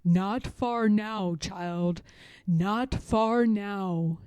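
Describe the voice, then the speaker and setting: A woman reading a storybook, voicing God as a calm, tender, commanding fatherly presence who speaks slowly and reassuringly. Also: mid-range voice, clean tone, no echo. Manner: slow, solemn, reassuring. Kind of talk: storybook read-aloud.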